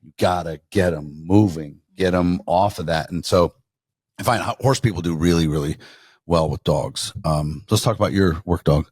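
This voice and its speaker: A very deep-voiced man co-hosting a podcast, talking in an easy, rambling way as he asks his questions. The recording is dry and close, with no room sound. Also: moderate pace, a little mellow, slightly rough, no room sound. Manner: conversational, loose rambling questions. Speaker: podcast co-host. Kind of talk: casual podcast interview.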